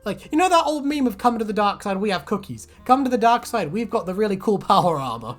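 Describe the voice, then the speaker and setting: Casual male YouTube host, high-ish playful chat not required; loose, jokey, sweary, music underneath. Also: big pitch swings, fast. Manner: joking, swearing, rambling. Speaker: YouTube host giving reactions to fan predictions. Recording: music playing behind. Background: background music.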